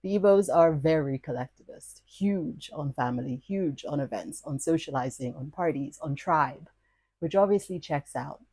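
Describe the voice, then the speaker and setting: A woman's voice: low-pitched, moderate pace, warm tone, breathy edge, no room sound. A woman from Nigeria hosting a podcast, reading her own lyrical personal essay in a playful, wordy, self-mocking way. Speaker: podcast host. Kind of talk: scripted personal essay narration.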